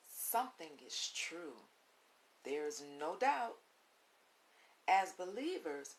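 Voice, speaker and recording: Woman, children's read-along host, lively swooping intonation, creaky edges, deliberate emphatic phrasing, faint hiss. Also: mid-range voice. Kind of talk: children's picture book read-aloud. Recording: audible hiss and background noise.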